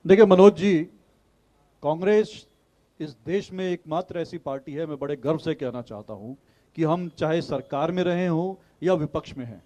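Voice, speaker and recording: A man's voice: a little high, moderate pace, warm tone. A man speaking as an opposition party spokesman in a heated TV debate, insistent and argumentative, pushing on through interruptions.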